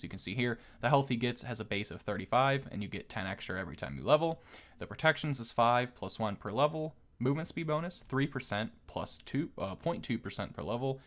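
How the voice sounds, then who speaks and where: A man with a fairly low voice hosts a gaming video. His commentary is casual and conversational, and he explains as he goes like someone talking a viewer through the details. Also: a bit bright.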